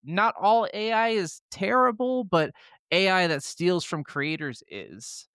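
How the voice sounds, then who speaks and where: A man's voice: sits high, expressive, slow, fairly bright tone, clean tone, no echo, studio quality. A man co-hosting a gaming podcast, giving his opinions in casual conversation. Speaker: podcast co-host.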